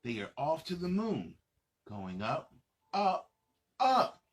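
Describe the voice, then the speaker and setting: Animated man reading a children's story, unhurried. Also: fairly high pitch. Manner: playful, dramatic, pauses to ask young listeners questions. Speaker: children's storybook reader and host.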